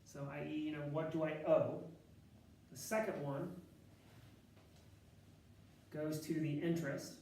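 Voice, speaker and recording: A man teaching a math class with a loud voice, talking casually and thinking aloud with fillers and self-corrections. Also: on the high side, steady tone, unhurried, long pauses. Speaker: math instructor. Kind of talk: classroom lecture. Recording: echoey classroom, distant mic.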